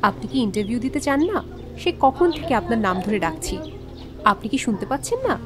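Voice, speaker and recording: Woman as insistent receptionist, very lively pitch. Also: brisk, over music. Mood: impatient. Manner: insistent, calling out. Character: impatient young female office receptionist. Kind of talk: animated story acting.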